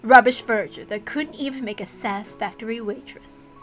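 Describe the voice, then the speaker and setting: A cruel, sneering demon examiner from a story reading, acted by a woman. The voice is high and bright, mocking and condescending, coaxing with little taunting questions over faint music. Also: moderate pace, natural voice.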